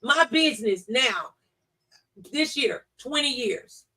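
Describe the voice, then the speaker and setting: A middle-aged woman with a notably high voice and a bright, forceful edge. She talks about faith in a conversational way, leaning into key phrases like a preacher and repeating scripture for emphasis. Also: lively intonation, slow pace, recorded from a distance, rough recording. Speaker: talk show speaker on faith. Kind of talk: conversational religious talk, testimony and scripture.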